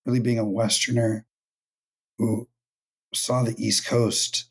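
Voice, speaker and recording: A man from California, hoarse from losing his voice, talking thoughtfully as a writer guest in a casual podcast interview. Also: mid-range voice, little pitch movement, takes it slowly. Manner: thoughtful, many ums and restarts. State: hoarse, lost voice.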